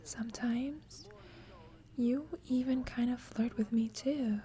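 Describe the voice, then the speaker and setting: A soft, breathy whisper from a shy young female student played by a woman, lovestruck and insecure. She speaks slowly and hesitantly, with a mellow, tender tone, as in an intimate ASMR roleplay confession to a roommate. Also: a little high, steady tone. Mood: shy, uncertain. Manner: hesitant, slow, confiding.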